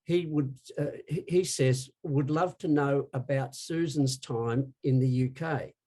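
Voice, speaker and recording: Casting: male interviewer, soft dark tone, medium pitch, relaxed reader of audience questions. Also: a little slow. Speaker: co-host, interviewer. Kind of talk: casual interview, reading out listener questions.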